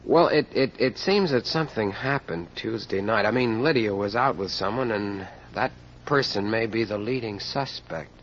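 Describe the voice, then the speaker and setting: An actor playing an anxious, pleading male suspect in an old radio mystery, speaking in a halting way. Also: fairly low pitch, close to an everyday voice. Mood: nervous hesitation. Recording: dull, noisy old radio recording.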